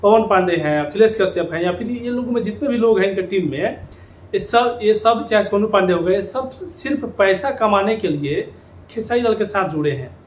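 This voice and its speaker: A man's voice: high voice, animated, moderate pace. A man giving informal opinion commentary to viewers, repeating phrases for emphasis. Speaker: YouTube commentator on Bhojpuri music industry. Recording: echoey room with background noise.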